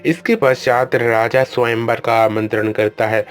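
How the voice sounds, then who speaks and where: A man's voice: medium pitch, steady tone, a little slow, clear, resonant tone, soft background music. A man narrating a children's moral cartoon story in a plain, matter-of-fact way.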